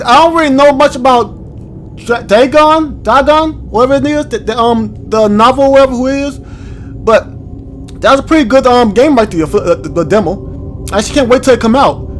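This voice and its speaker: A man's voice: very high-pitched, a little hiss or hum. A man hosting a game playthrough, commenting casually and reading text aloud, informal and prone to stumbling over words. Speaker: gaming video host.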